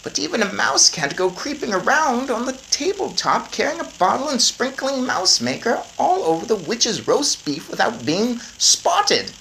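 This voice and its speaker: A woman's voice: fairly high pitch, sing-song, normal speed, vocal fry, hardly any pauses, a bit bright, a little hiss or hum, voice pushed up, full character voice, rough recording. A woman voicing a doting, practical old grandmother in a storybook, emphatic and instructive. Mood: amazed, admiring.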